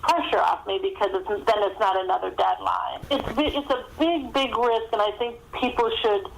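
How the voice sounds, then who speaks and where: Female guest author, mid-pitched, chatting in interview style down a phone line. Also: steady tone, normal speed, creaky, slight background noise. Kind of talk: conversational interview answers. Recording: thin phone-line sound.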